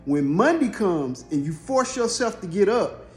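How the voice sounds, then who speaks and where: A man's voice: high-pitched, very animated, measured pace, a little mellow, soft background music. An American man co-hosting a casual advice talk, telling motivational analogies with emphatic, repeated phrasing. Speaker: co-host giving marriage advice. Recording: roomy echo.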